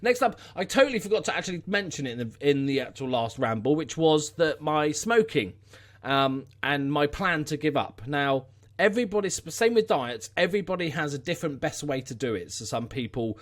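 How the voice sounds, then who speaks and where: A man with a Scouse accent and a slightly high voice talks casually to his viewers. He is unscripted and informal, with a wry, blunt turn of phrase as he hosts his vlog. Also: expressive, a little fast. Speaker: YouTube channel host.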